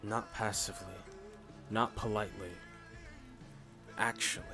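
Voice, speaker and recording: Man acting as stoic furry roleplay character, lowish voice, unhurried, blunt but reassuring, music underneath. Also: steady tone, close to an everyday voice. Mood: matter-of-fact, fond. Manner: measured, blunt, short deliberate phrases with pauses. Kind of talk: scripted ASMR roleplay monologue.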